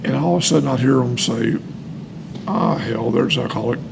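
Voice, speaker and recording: An older man giving casual, earnest explanations with anecdotes, often pausing mid-sentence. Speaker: speaker on alcoholism recovery, retired medical worker. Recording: clear background noise with low rumble.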